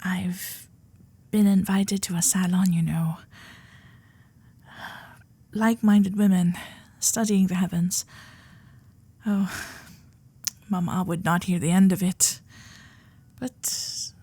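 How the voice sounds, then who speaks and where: Woman acting a breathy, unhurried young heiress. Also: lowish voice, fairly even intonation, warm tone, exaggerated voice. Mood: dreamy, excited. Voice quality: breathy, often dropping into whispers. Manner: genteel, old-fashioned politeness, hesitant pauses. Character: young Gilded Age heiress, shy, starry-eyed, eager amateur astronomer. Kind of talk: romantic ASMR roleplay acting, intimate and soft.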